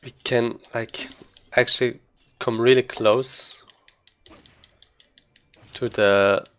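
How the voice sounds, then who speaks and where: A man giving casual live commentary as he plays a game, haltingly thinking aloud. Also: mid-range voice, fairly even intonation, a bit bright, a little hiss or hum. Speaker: speedrunner commentating gameplay.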